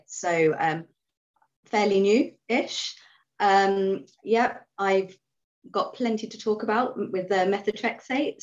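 A woman in her thirties talks casually as a panel guest. Her voice sits on the low side. The recording is a little dull. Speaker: podcast panelist. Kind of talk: casual self-introduction.

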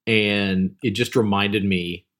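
A man's voice: fairly low pitch, steady tone, takes it slowly, fairly bright tone. A man with an American accent talking casually as a podcast co-host. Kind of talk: casual chat.

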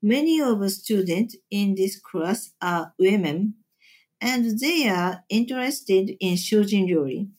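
A woman speaking English with a Japanese accent, taking her time over each phrase. Her delivery is unhurried and deliberate, as if reading prepared answers in an interview. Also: mid-range voice, lively intonation, darkish tone. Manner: slow, deliberate, measured phrasing. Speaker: temple chef and cooking teacher. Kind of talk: interview answers, carefully read.

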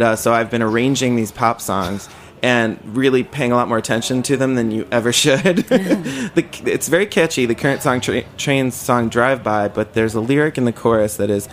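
A man's voice: mid-range voice, slight background noise. A man chatting casually as a guest on a talk show, a musician by trade. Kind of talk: casual chat.

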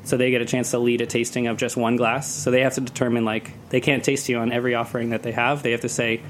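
Male interviewee, mid-pitched and level in melody, conversational explainer, peppers sentences with "like". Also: little pitch movement, fast, fairly bright tone, slight background noise. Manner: chatty, uses "like" often. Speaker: guest, cocktail festival organizer. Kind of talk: casual interview talk, explaining his work. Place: radio studio.

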